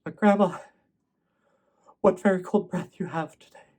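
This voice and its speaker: A man's voice: high voice, unhurried, darkish tone, voice pushed up. A man voicing an innocent young girl from a storybook, speaking in a light, hesitant, wondering way. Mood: wary wonder. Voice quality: light, raised voice. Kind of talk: storybook character voice in oral storytelling.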